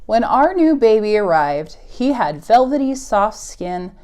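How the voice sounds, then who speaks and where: A woman's voice: a little high, very animated, measured pace, darkish tone. A woman hosting a preschool storytime with songs, rhymes and reading, speaking in simple, encouraging phrases to small children. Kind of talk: preschool storytime with singing, rhymes and read-aloud.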